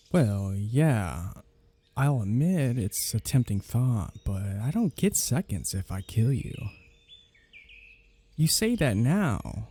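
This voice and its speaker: Casting: male actor as sardonic, flirty vampire for ASMR roleplay, unhurried husky delivery with swooping, lively inflection. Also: mid-range voice, exaggerated voice. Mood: hungry, menacing tease. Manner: teasing, sarcastic, swearing banter. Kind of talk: ASMR roleplay audio drama, one side of dialogue. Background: birdsong, woodland sound effects.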